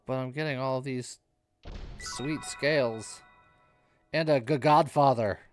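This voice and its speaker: A man's voice: medium pitch, slow pace. A man giving casual, dryly jokey, mock-complaining commentary as he streams a video game. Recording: music in the background. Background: game music.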